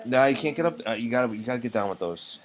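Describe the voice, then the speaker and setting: A man reading a children's storybook aloud in an expressive way, pausing to speak to the children with him. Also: medium pitch, muffled recording, background noise. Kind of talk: read-aloud of a children's storybook, broken by asides to kids.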